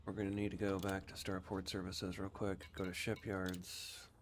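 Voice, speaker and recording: Man, fairly low voice, casual game streamer commentary, short spoken commands, some background noise. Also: completely flat delivery, normal speed.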